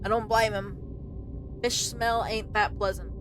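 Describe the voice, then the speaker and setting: A woman's voice: mid-range voice, flat delivery, unhurried, a little hiss or hum. A woman game streamer giving casual, chatty, exclamatory commentary as she plays. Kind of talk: casual reactive let's play commentary.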